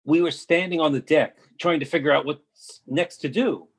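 An actor playing a man who describes watching explosions and a blackout from a deck in a steady, descriptive way. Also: fairly high pitch, moderate pace, breathy edge.

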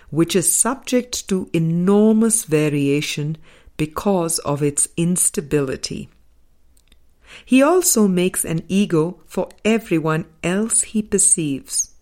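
A woman narrates a spiritual audiobook in a slightly low, mellow voice. She takes it very slowly, setting out each short phrase deliberately and leaving space between them. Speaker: audiobook reader.

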